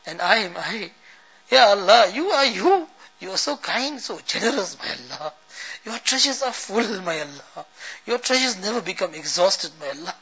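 A man leading an emotional supplication, chanting Arabic verse and pleading fervently, each refrain trailing off to a hush. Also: high voice, husky. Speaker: prayer leader. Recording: dull lo-fi recording.

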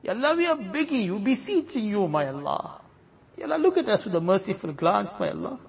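Very raspy high-voiced man pleading in prayer. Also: sing-song, a little fast. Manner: pleading, each plea closed with a soft trailing refrain. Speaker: imam leading a congregation in supplication. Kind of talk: impassioned supplication prayer.

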